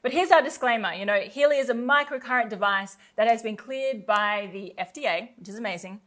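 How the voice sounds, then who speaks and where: A woman co-presenting a sales pitch, gushing and enthusiastic, often laughing between phrases. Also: a little fast, fairly bright tone.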